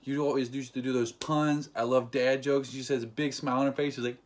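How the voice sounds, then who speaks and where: A man's voice: mid-range voice, fairly quick. A young man casually retelling a fan meet-up in a playful, joking vlog, acting out quotes as he goes. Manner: playful, jokey, animated retelling with acted quotes. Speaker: vlogger.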